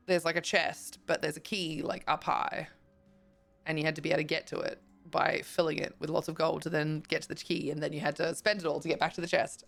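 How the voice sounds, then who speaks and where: Woman, croaky fry-laced voice, lowish pitch, casual live-stream chatter, faint music behind. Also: a bit bright. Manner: chatty, talks to chat and thanks viewers. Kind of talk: casual live-stream chat while gaming.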